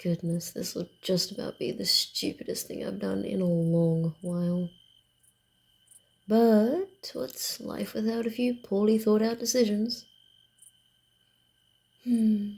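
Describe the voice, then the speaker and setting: A woman voicing a wary, dryly teasing vampire in a soft ASMR roleplay, often dropping to a whisper, with a grumbling, hesitant manner. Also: mid-range voice, fairly even intonation, slow pace, creaky, pauses often. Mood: uneasy musing.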